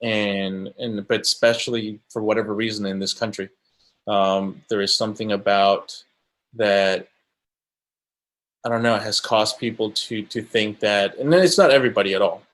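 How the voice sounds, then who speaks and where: Halting, thoughtful Colombian-born man. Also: fairly low pitch, steady tone, a bit bright. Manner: thoughtful, halting, many restarts. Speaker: guest camera assistant. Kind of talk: casual interview talk.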